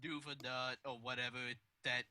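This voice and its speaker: A man's voice: medium pitch, level tone, a little fast, a crowd in the background. A man chatting on a casual group voice call, hesitant and full of fillers.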